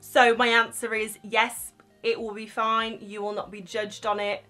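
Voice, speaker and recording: A woman's voice: on the high side, flat delivery, a little slow, pushed and bright, soft background music. A young woman talking straight to camera in a small room, giving frank, reassuring advice in a chatty vlog manner. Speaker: vlogger giving crew advice. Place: small indoor room, plain wall.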